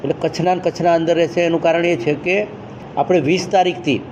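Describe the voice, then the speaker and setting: A man's voice: on the high side, steady tone, quick pace, a little mellow. A man explains things plainly and repetitively as a district education officer in an interview statement. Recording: dull, noisy outdoor-like field recording.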